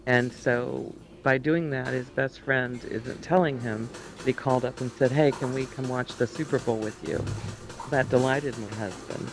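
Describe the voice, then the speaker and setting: A middle-aged woman with a calm, soothing voice, talking as a guest in a heartfelt conversation, gentle and measured. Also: rumbling low, over music, rough recording.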